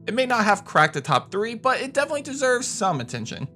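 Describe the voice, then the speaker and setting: A man's voice: very high voice, big pitch swings, fast talker. A man hosting a gaming countdown video, narrating in a conversational, opinionated way. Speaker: host of a gaming video channel. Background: background music.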